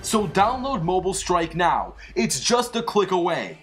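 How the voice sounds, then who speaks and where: An energetic online video host delivering scripted narration for an animated series. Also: medium pitch, a little slow. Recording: music under the voice. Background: music.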